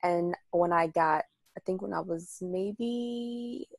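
Low-voiced young woman telling her story. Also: slow, creaky, no room sound. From United States, Washington DC and Maryland area. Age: young adult. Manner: chatty, detailed storyteller with frequent ums and laughs. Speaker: radio show host and guest. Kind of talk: casual interview storytelling.

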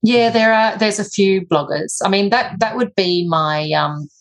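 A woman's voice: medium pitch, unhurried. A woman chatting casually and agreeably about travel and food. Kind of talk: casual chat.

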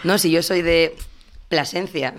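A woman's voice: fairly low pitch, measured pace. A woman from Palencia with a Castilian Spanish accent, a band member chatting casually and jokingly as a guest. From Palencia, Castile and León, Spain. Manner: joking, playful. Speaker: musician, interview guest. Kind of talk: casual chat.

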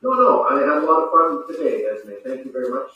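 Male referee guest, halting delivery with fillers; distant, very reverberant call audio. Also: a little high, huge pitch swings, lo-fi sound. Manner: hesitant, many fillers and restarts. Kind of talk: casual interview answers.

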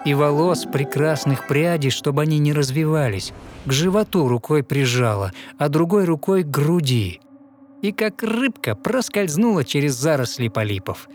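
A man narrating a fairy tale in verse, dramatic and measured, pausing expressively between short phrases. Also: a little high, lively intonation, a little mellow. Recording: studio narration over music. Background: music.

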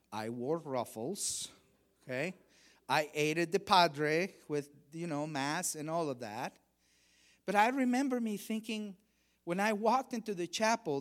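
A middle-aged man from Honduras preaching a sermon, measured and emphatic, with quieter stretches for personal stories. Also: a little high. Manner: measured preaching, rising emphasis on key phrases. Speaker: guest preacher.

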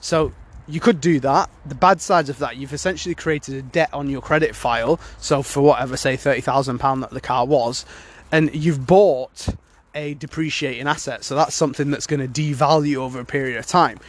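A man's voice: medium pitch, moderate pace, hardly any pauses. A man hosting a casual solo podcast, sounding congested from a cold and rambling with many fillers and restarts. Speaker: podcast host.

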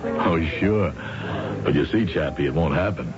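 A man's voice: low-pitched, normal speed, music in the background, lightly acted. An actor playing an uneasy, ambitious married executive and ex-Army man in an old-time radio drama. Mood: rueful, wry. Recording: muffled old radio recording.

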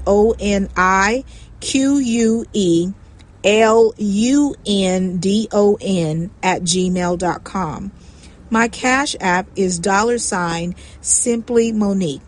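Female guest speaker, mid-range voice, very unhurried, careful and deliberate in direct address to listeners. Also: medium pitch. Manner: deliberate, spelling things out carefully. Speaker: guest storyteller, author and speaker. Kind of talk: personal address to listeners, giving contact details.

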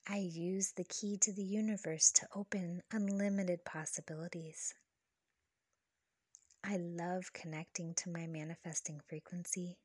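A woman leading a scripted guided meditation with affirmations, speaking in a soft, breathy, soothing and measured way. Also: lowish voice, flat delivery, no echo. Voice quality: soft, breathy, partly whispery. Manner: soothing, slow, measured delivery.

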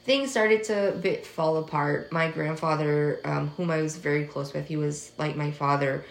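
A woman in her twenties whose first language is French, hosting a podcast in a casual personal monologue, soft, hesitant and earnest, pausing often. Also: low-pitched, vocal fry, slight background noise. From United States. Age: 20s. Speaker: podcast host. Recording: echoey room.

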